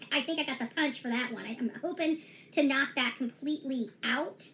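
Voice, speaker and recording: A woman's voice: high voice, pushed and bright. A woman giving a casual vlog update on her own lawsuit, rambling and emphatic with frequent restarts and self-corrections. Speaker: self-represented plaintiff giving a case update.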